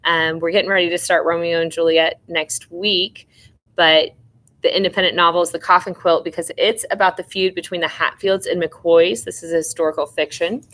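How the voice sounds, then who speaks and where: A woman's voice: on the low side, flat delivery, a little fast, fairly bright tone. A woman teacher reading a novel aloud expressively, with informal asides to her students.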